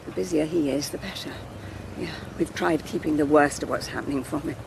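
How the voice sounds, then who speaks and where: A woman's voice: low-pitched, fairly quick, vocal fry, noisy background. An actress playing an anxious, self-sacrificing older mother in a naturalistic radio drama, genteel, hesitant and rueful. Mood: pleading, resigned.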